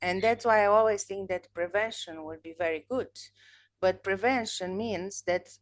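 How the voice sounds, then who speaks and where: A woman's voice: mid-range voice, normal speed. A woman in her thirties, a native Romanian speaker, hosting a podcast in casual video-call chat, talking thoughtfully with frequent restarts. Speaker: podcast host. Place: home room, indoors. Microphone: video call webcam.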